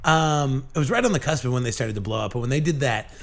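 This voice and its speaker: A man hosting a casual podcast, speaking haltingly with frequent restarts and casual swearing. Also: medium pitch, animated, slight background noise. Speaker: podcast host.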